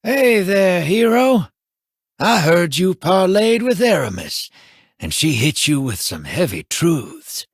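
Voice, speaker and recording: A man's voice: high voice, very animated, takes it slowly, slightly rough, no echo, studio quality, exaggerated voice. An actor playing an easygoing frontier man with a folksy drawl.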